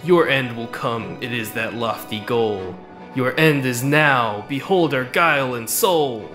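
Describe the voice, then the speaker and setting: A man's voice: medium pitch, animated, measured pace, fairly bright tone, soft background music. A man playing a boastful rhyming villain in a fan drama, with pompous rhythmic delivery. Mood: grandiose.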